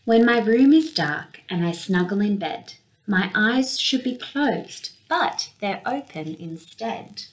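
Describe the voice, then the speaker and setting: Slow-paced young woman reading a children's story. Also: medium pitch, poor audio. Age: young adult. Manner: expressive, with acted-out sound words. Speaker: storyteller reading a picture book. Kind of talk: children's read-aloud. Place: small indoor room with window blinds.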